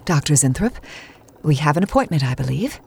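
Low female voice, imperious aging business queen, cool, clipped, commanding, campy audio drama. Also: sing-song, fairly quick, creaky, a little mellow, husky, heavily acted. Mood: cool, impatient.

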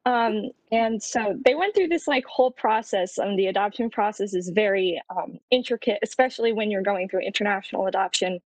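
A young woman co-hosting a podcast, speaking in a measured, casual way with frequent ums. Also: medium pitch, darkish tone, no room sound, low-quality recording. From Romania, raised in the United States. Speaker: podcast co-host.

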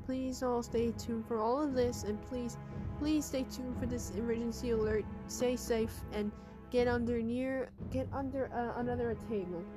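A woman playing a grave TV emergency alert announcer, speaking in a solemn, formal, halting way. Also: on the high side, natural voice.

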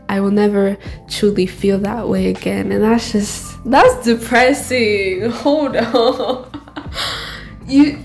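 A woman's voice: medium pitch, darkish tone. A teenage girl co-hosting a podcast, talking candidly and rambling, often laughing at herself. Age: teens. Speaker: podcast co-host. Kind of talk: casual solo chat.

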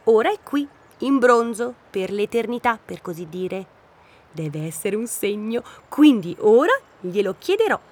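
A woman's voice: mid-range voice, big pitch swings, unhurried. A woman playing an earnest, hopeful hiker in an audio drama, explaining things and insisting on her beliefs.

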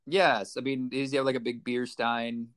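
A man's voice: mid-range voice, flat delivery, normal speed, fairly bright tone, clear, resonant tone. Casual man chatting as podcast co-host. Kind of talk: casual comic book review chat.

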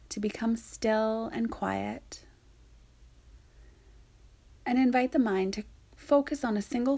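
A woman softly guiding a yoga nidra meditation in a soothing, breathy way, leaving long pauses. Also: mid-range voice. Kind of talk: guided meditation narration.

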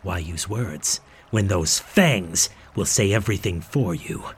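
An actor playing Van Helsing, a bitter, taunting vampire hunter, in a theatrical radio drama style. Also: male voice, low voice, unhurried, slight background noise. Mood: mocking.

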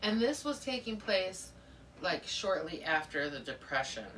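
A soft-spoken woman with a very low voice co-hosts a relaxed book podcast, sounding thoughtful as she talks. She sits a little back from the mic, with a faint noisy hum behind her. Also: unhurried. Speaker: podcast co-host. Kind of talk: casual book club chat. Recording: distant mic with faint noise.

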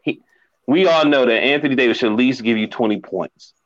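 A man on a sports podcast panel who gets animated and excitable about the game. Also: brisk. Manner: animated, excitable, swings pitch up when worked up. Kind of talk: casual sports talk. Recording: muffled lo-fi mic.

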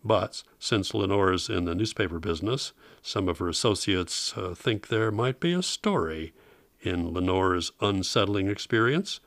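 A low-pitched American man hosts a vintage radio program. He presents in an informative narrating style, recorded very dry. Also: lively intonation, a little slow, vocal fry, a bit bright, no room sound. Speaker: host of an old-time radio program.

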